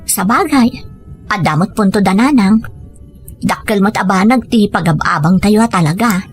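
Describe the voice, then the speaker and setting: A woman acts out a dutiful young wife in an audio drama, hesitant yet accepting. She speaks earnestly and plainly at a middling pitch, over faint music. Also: moderate pace, lightly acted. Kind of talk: scripted audio drama dialogue and narration.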